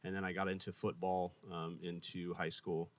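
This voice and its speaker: Low-voiced relaxed man. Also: normal speed. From Las Vegas, Nevada. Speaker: church staff member. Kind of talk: casual interview answers.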